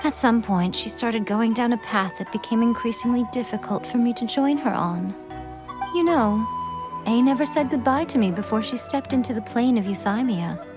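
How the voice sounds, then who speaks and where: A woman playing an elegant, shrewd fox spirit in a video game, teasing and coy, with measured, formal phrasing. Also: a little high, lightly acted. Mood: wistful, resolute. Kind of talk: scripted video game voice acting. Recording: background music under the voice. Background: game soundtrack music.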